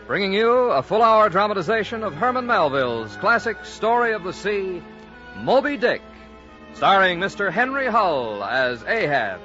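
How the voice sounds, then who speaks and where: Old radio drama announcer guy, highish lively voice, very grand, music under him. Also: moderate pace. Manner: grand, declamatory. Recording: dull vintage radio recording with music.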